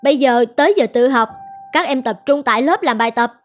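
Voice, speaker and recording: Actress as strict female teacher, middle-aged, high voice, scolding, authoritative, cartoon dub, music underneath. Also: natural voice. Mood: stern, scolding. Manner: brisk, authoritative, admonishing. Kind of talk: animated cartoon dubbing in Vietnamese.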